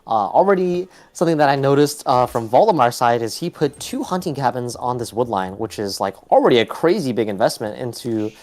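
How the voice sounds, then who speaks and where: A man's voice: medium pitch, animated, a little fast, hardly any pauses, darkish tone. A young man co-casting a game match, talking loosely with lots of fillers as he breaks down strategy. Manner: loose, chatty, many "like" and "uh" fillers. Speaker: co-caster. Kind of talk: casual game analysis.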